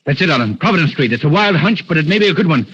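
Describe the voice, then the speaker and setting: An actor playing a commanding, impatient gentleman hero in old-time radio drama, urgent and imperious, on a muffled, noisy recording. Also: fairly high pitch, animated, racing.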